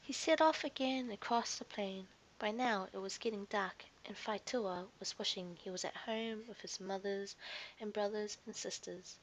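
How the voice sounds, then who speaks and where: A woman's voice: mid-range voice, normal speed, slight background noise, low-quality recording. A woman narrating a scripted children's story expressively, as a storyteller to young listeners.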